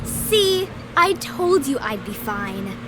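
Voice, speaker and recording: A woman's voice: sits high, big pitch swings, slow, noisy background, heavily acted. An actress playing a cocky young girl scientist in a children's horror audio drama, boastful, dismissive and dramatic. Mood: smug.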